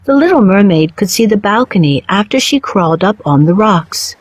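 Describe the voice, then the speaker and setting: A woman storyteller giving a measured, scripted narration of a children's story. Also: mid-range voice, expressive, breathy edge, no room sound. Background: soft music.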